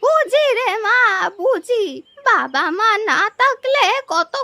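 A woman's voice: very high-pitched, sing-song, slow pace, pushed and bright, no room sound, full character voice. A woman voicing a kind, stubborn old village grandmother in a children's cartoon, with an affectionate, emotive manner. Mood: tender, caring.

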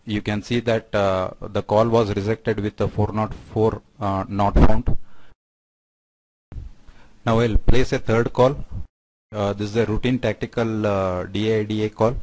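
Male product demonstrator, halting explanatory delivery, little pitch movement, close dry sound. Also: steady tone, a little mellow, no echo, low-quality recording. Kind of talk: screen-share product demo narration.

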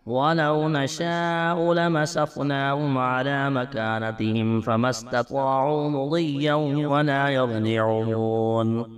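A man reciting scripture in a melodic Quranic chant, measured, with drawn-out verse endings. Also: mid-range voice.

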